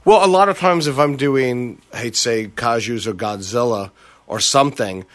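A man's voice: mid-range voice, fairly bright tone. A voice actor on a panel, chatting casually and asking himself questions as he explains his process. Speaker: creature voice actor.

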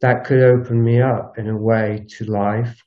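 A man recording a solo spoken audio log on a walk, musing in a halting, unscripted way and circling back over his phrases. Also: fairly low pitch, level tone. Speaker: podcast host. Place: outdoors, recorded while walking. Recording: dull lo-fi outdoor recording.

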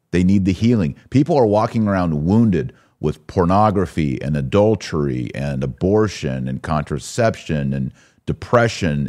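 A man's voice: low-pitched, a little mellow. A man hosting a religious podcast, speaking in an emphatic, exhorting way with rhetorical questions. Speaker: podcast host. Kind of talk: religious discussion, preaching commentary.

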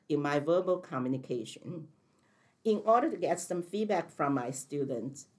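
A woman in her sixties with a Chinese accent speaks in a low voice. Her delivery is careful and measured, as if she is reading prepared answers. She is a veteran math teacher telling her own story. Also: darkish tone. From China. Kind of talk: interview answers, read from prepared text.

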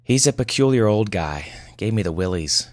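Casting: man playing breezy, sassy female receptionist, pitched up from a deep register, blunt audiobook character. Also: expressive, normal speed, a little mellow, no echo, clean studio sound, lightly acted. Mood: incredulous.

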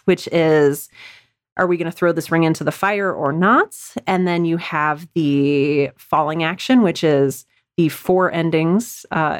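A woman's voice: lowish voice, dry recording, studio quality. A woman being interviewed as a writer, explaining her craft conversationally and acting out little example quotes. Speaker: audio drama writer and podcast guest.

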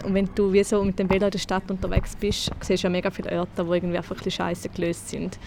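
A woman's voice: fairly low pitch, monotone, quick pace, gravelly, background noise. A woman in her twenties with a St. Gallen Swiss German accent, chatting casually as a guest and laughing often. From St. Gallen, Switzerland. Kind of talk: casual conversation.

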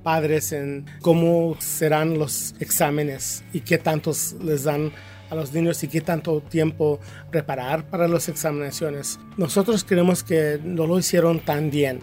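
A man from Kansas with an American accent, a teachers' union representative answering interview questions in halting second-language Spanish, searching for words. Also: fairly high pitch, fairly even intonation, darkish tone, soft background music. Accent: American English. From Kansas, USA. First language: English.

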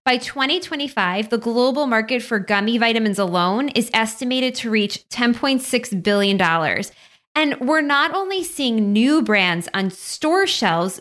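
A woman's voice: a little high, fairly bright tone. A woman hosting a parenting podcast, reading a scripted introduction in a friendly, conversational way and then interviewing a guest. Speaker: podcast host.

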